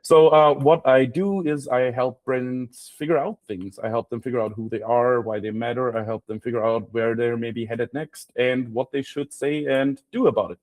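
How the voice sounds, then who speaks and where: A man's voice: mid-range voice, steady tone, moderate pace, hardly any pauses, darkish tone, no echo. A man with an Austrian accent giving an informal slide talk in a wry, self-deprecating way. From Graz, Austria. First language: German. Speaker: guest speaker, freelance copywriter.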